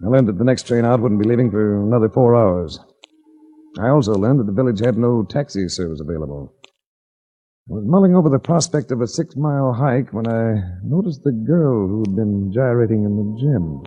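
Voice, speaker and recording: An actor playing a wry hard-boiled investigator in a 1940s radio drama, speaking in a dry, measured, wisecracking way. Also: male voice, lowish voice, animated, dark tone, slightly rough. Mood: grim, measured narration. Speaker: lead actor and narrator. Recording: dull 1940s radio recording.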